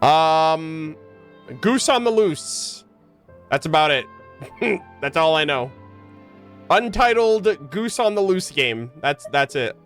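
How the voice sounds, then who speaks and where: A man's voice: a little high, expressive, slow pace, long pauses, a bit bright, soft background music. A man giving casual, slangy live reactions while playing a game, muttering asides on a close mic. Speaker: game streamer.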